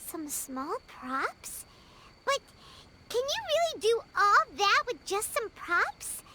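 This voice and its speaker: A woman voicing a curious, excitable, childlike small female companion in a video game, with an inquisitive, exclamatory delivery. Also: very high voice, slow, very noisy, heavily acted. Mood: surprised. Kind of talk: scripted video game dialogue.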